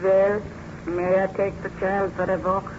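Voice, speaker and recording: An actress playing a grim, strict nurse in old-time radio drama, formal and deferential, on an old radio recording. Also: female voice, medium pitch, completely flat delivery, unhurried, phone-quality sound, noisy background, natural voice.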